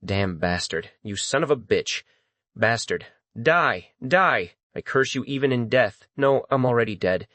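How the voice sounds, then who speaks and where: Man voicing an excitable, overwrought male ghost servant. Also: medium pitch, a little slow, no echo. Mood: bitter fury. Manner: blustering, then pleading and servile. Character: panicked, cursing, groveling male servant ghost. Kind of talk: dramatized audiobook.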